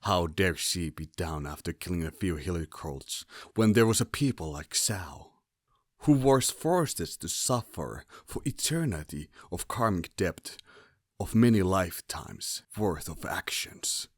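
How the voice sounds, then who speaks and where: Low-voiced man narrating a dramatic story. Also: lively intonation, measured pace, no echo, clean studio sound.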